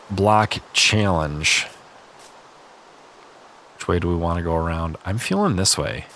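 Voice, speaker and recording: A man hosting a relaxed gaming video, chatting calmly and reading viewer comments, a little stuffy-nosed. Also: lowish voice, slight background noise. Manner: calm, easygoing, chatty. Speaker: gaming channel host.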